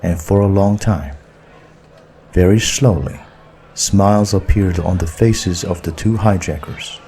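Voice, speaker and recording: Deep-voiced, slow-paced male audiobook narrator. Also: dark tone, a little hiss or hum. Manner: measured, deliberate storytelling. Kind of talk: scripted story narration.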